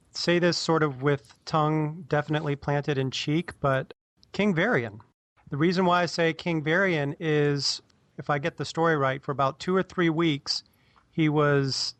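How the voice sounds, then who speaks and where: A man's voice: fairly high pitch, fairly even intonation, measured pace, a bit bright, no echo. A man talking casually as a podcast panelist, acting out bits of imagined dialogue to make his points.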